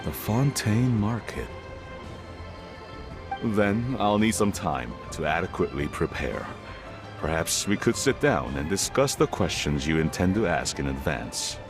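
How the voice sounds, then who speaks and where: An actor playing a reserved, cautious middle-aged merchant father in a video game, speaking formally and deliberately. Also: low-pitched, very animated, music in the background, full character voice. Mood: cautious, pondering.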